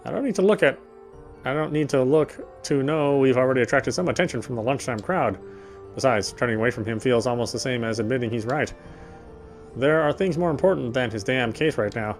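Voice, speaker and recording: A man reads a horror visual novel in the first person at an ordinary middle pitch. He stumbles and restarts words now and then, and faint music plays beneath his voice. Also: fairly quick. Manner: steady reading with frequent restarts of words. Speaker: narrator.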